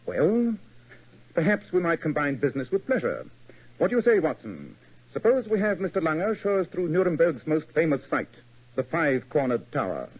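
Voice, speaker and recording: An actor playing a cool, precise detective in a 1940s radio drama, old muffled recording. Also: male voice, on the high side, sing-song, normal speed. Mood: calm. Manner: crisp, precise, courteous. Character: sharp, cool-headed male detective.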